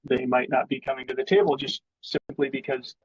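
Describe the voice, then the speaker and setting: A man's voice: mid-range voice, steady tone, a little fast, breathy edge, dry recording, dull audio. A man speaking as an interview guest in sales, explaining his thinking in a casual business conversation.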